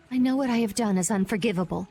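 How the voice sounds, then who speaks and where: A woman's voice: medium pitch, darkish tone, lightly acted. A woman playing an earnest, stiff young female knight with a formal, hesitant manner in an anime game dub.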